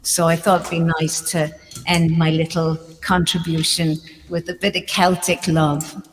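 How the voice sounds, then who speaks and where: A woman's voice: low voice, little pitch movement, unhurried, big-room sound, a little hiss or hum. A woman with an Irish accent, a guest musician chatting casually between songs, giggly and full of ums. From Ireland. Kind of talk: casual chat between songs on a live stream.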